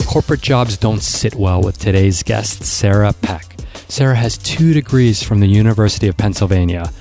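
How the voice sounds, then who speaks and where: A low-pitched man hosts a podcast, steady and measured in his delivery. He reads a scripted opening and then chats with his guest, sometimes restarting his sentences. Faint music plays underneath. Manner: measured, with hesitant restarts in conversation. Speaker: podcast host. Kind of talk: scripted intro, then casual interview.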